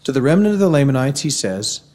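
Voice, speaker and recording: Middle-aged missouri guy giving a formal campus talk, warm mellow voice, steady earnest pace, podium mic in a big auditorium. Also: mid-range voice. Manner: measured, earnest lecturing. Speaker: university speaker. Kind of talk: formal devotional address. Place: large university auditorium. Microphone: podium microphone.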